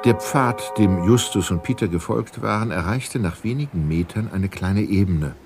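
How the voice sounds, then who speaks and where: An actor narrating a detective mystery as a calm storyteller in a scripted German audio drama. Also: male voice, on the low side, normal speed, lightly acted. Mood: calm suspense. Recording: music clearly behind the voice.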